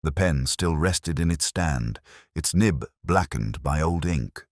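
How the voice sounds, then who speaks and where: A man narrating a detective story in the first person in a measured, reflective, literary manner. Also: extremely low pitch, expressive, no echo, clean studio sound.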